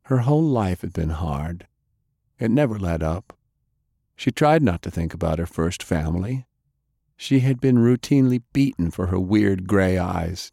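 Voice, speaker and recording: A man's voice: sits low, mellow, no echo, clean studio sound. A man narrating fiction as an audiobook reader, telling a scripted story in prose.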